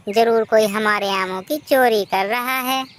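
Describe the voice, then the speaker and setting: A woman's voice: on the high side, measured pace, slight background noise, close to an everyday voice. A woman voicing an honest, polite male sparrow in a cartoon moral story, speaking respectfully and earnestly. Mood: worried, earnest.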